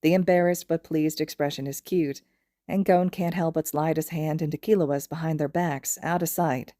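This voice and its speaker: A woman's voice: deep voice, fairly even intonation, moderate pace, dark tone, no echo, studio quality. A woman reading a story aloud in scripted narration. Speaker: podfic reader.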